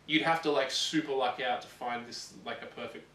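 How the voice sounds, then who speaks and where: A man co-hosting a casual chat about games and films, talking in a rambling, halting way. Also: mid-range voice, fairly even intonation, pushed and bright. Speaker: video show co-host. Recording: roomy, distant mic, some ambient noise.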